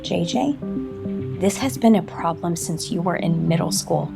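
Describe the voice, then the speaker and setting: A woman's voice: lowish voice, expressive, unhurried, gravelly, over music, heavily acted. A woman acting in an audio soap opera as a stern, critical older sister and company executive. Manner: firm, reproachful.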